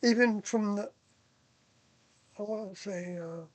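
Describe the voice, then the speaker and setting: An older person reminiscing casually about past experiences, hesitant and pausing often while searching their memory. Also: medium pitch. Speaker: interviewee, former member of a group recalling past experiences.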